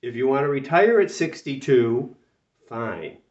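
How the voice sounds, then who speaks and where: A man's voice: medium pitch, animated, a little slow, darkish tone. An older man in his sixties talks straight to the camera, slightly nasal from a cold and plainly exasperated, hammering his points with repetition. He sits a few feet from the mic in a small room, so the sound is roomy, distant and a little dull. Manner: exasperated, emphatic, repeats words for stress. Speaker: retirement channel host. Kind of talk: talking-to-camera commentary. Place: small room with a whiteboard, indoors.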